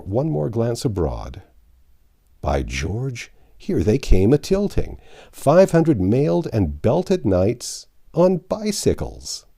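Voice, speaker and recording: A man's voice: fairly low pitch, warm tone. A man reading a classic novel aloud as narrator, with a dramatic storytelling delivery. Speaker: audiobook narrator.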